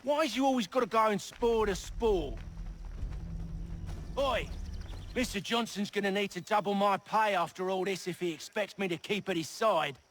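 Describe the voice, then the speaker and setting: An actor playing a brash, scrappy young rogue and fixer in a colonial-era video game, slangy and cheeky. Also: male voice, sits high, normal speed, fairly bright tone. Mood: grumbling. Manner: slangy, cheeky, rough-spoken. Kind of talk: video game cutscene acting.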